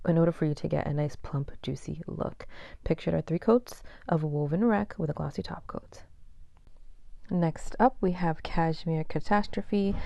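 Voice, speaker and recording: A low-voiced woman from Boston with a faint Boston accent, talking casually through a product review. Her tone is dark and gravelly, full of ums and likes. The recording is dry and a little dull. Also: no room sound. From Boston, USA. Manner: chatty, frequent ums and likes. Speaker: nail polish swatch vlogger.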